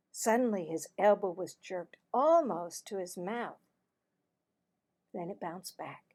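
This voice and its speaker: A woman narrating a children's storybook aloud in an expressive, deliberate manner. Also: mid-range voice, slightly rough. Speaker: teacher-style read-aloud narrator.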